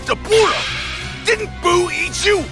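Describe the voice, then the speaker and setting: An actor playing a proud, hot-tempered alien warrior prince in an anime fighting game, gruff and haughty in delivery. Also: male voice, extremely high pitch, slow, music in the background. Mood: furious, straining. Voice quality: gruff, gravelly. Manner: haughty, forceful. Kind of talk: anime game battle acting.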